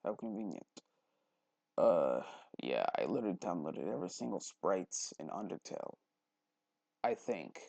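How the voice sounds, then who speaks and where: A young man giving a casual software tutorial, thinking aloud as he rambles along. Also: deep voice, sing-song, normal speed, pauses often, no echo, dull audio. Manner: rambling, thinking aloud, frequent throat clearing. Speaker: tutorial host. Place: indoors at a computer, voice-over for screen recording.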